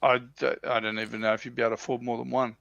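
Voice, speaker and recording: A man's voice: medium pitch, flat delivery, racing, vocal fry, fairly bright tone, dry recording. A man on a livestream panel in casual hobby chat, hesitant and thinking aloud. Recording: dry, dull lo-fi microphone sound.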